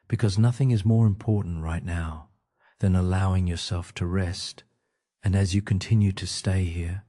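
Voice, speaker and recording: A man guiding a sleep meditation in a calm, gentle, soothing manner, speaking in short phrases with pauses. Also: low voice. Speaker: meditation guide.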